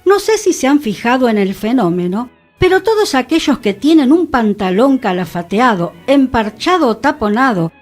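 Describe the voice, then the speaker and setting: A woman's voice: a little high, very animated, moderate pace, few pauses. A woman with a Buenos Aires accent presenting a radio show and reading literature aloud expressively, over a music bed. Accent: Argentine, Buenos Aires.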